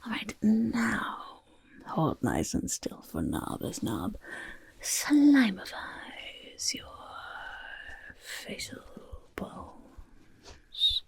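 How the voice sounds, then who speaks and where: Woman as a croaky whispering goblin overlord. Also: medium pitch, huge pitch swings, very slow, pauses often, heavily acted. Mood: soothing, fussy. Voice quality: breathy, croaky, raspy whisper. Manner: refers to self in third person, repeats words, fussing. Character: bossy, eccentric, self-important goblin overlord, cheerfully chaotic. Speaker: ASMR roleplay performer. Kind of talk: soft-spoken ASMR personal attention roleplay. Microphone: close, dry ASMR microphone.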